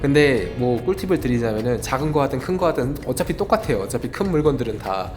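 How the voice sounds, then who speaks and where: A young man explaining things in a casual vlog, friendly and quick. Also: medium pitch, a little mellow. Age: young adult. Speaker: vlogger. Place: car and post office.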